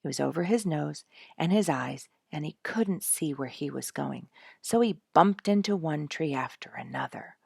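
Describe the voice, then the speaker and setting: A woman's voice: sits low, animated, normal speed, gravelly, a little mellow, slightly rough, no room sound, studio quality, exaggerated voice. A woman voicing a rueful, dramatic father in a storybook, weary and emphatic as he recounts his troubles. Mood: earnest recounting.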